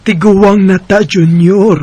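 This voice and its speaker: A woman's voice: medium pitch, fairly even intonation, a little slow, dark tone, lightly acted. A woman playing a devoted, fretful elderly wife and mother in a sentimental radio drama, with a Filipino Bisaya accent and an emotive delivery. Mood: worried, nagging. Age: older. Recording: music under the voice.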